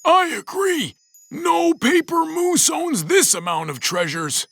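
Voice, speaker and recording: A man voicing a friendly, cautious, thoughtful moose with deliberate, theatrical delivery in a children's cartoon. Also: very high voice, sing-song, creaky, a bit bright, no echo, studio quality, exaggerated voice. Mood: delighted. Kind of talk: animated children's cartoon voice acting.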